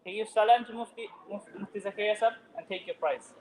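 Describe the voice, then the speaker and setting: A man announcing prizes to children at a mosque ceremony, calling out names loudly and projecting his voice over the room. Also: high voice, quick pace, slight background noise. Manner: loud, projecting over a crowd. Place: mosque hall. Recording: echoey hall.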